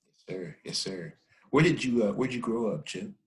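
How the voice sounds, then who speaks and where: Low-voiced podcast host. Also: male voice, animated, moderate pace. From Texas. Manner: friendly, appreciative, laughs easily. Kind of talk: casual interview.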